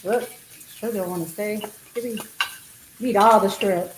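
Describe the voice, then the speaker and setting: A woman's voice: medium pitch, a little slow. Playful chatty woman hosting in a kitchen. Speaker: cooking show host. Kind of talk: casual cooking chat. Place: home kitchen. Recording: echoey kitchen with faint noise.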